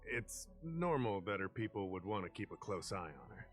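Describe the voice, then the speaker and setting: A man playing a courteous, knowledgeable fantasy official in a video game, explaining things in a measured way. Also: fairly low pitch, big pitch swings, faint music behind. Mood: patient, explanatory.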